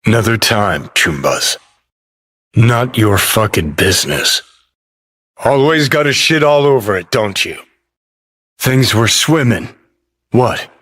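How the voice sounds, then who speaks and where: A man's voice: fairly low pitch, lively intonation, slow, pauses often, fairly bright tone, heavily acted. A male actor playing a cynical, hot-tempered rebel rocker in a cyberpunk video game, with a terse, sardonic, swaggering delivery. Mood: cool, sardonic.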